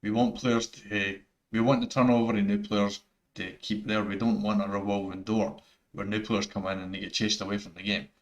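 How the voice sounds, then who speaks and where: A man's voice: on the low side, level tone, normal speed, highs cut off. A man with a thick Scottish accent, giving rambling, earnest opinions over gameplay footage, full of fillers and restarts. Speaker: gaming YouTuber.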